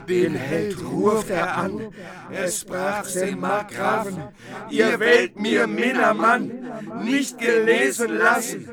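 A man performing a minstrel who chants old epic verse in a drawn-out way. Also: high voice. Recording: loud crowd behind.

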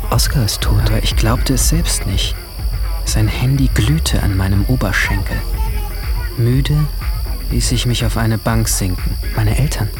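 A man's voice: medium pitch, lightly acted. A young man playing a brooding teenage boy who narrates his own story in subdued, introspective short phrases. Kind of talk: first-person scripted drama narration. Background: music.

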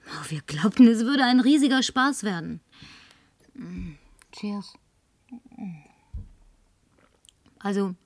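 A woman's voice: medium pitch, no room sound, exaggerated voice. A woman dubbing a lonely, tipsy, flirtatious woman, talking in a coaxing, whispery way that at times turns demanding. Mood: confiding. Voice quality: breathy, whispery. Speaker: advertising agency woman. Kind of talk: German film dub.

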